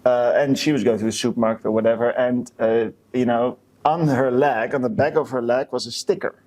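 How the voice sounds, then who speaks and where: A man co-hosting a podcast in casual chat, with a hesitant, halting way of talking that often restarts mid-sentence. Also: mid-range voice, fairly even intonation, darkish tone. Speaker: podcast co-host.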